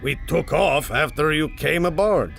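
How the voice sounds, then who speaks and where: A man's voice: on the high side, lively intonation, unhurried, creaky, a bit bright, faint music behind, heavily acted. An actor playing a gloomy, sardonic male sidekick with a dry, grumbling manner in an adventure audio drama.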